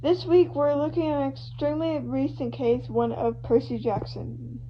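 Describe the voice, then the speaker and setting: A woman's voice: sits high, moderate pace, lightly acted. A woman voicing an eager male true-crime show host, telling the case in an emphatic, conversational way that builds suspense. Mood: intrigued. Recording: muffled lo-fi home recording with hum.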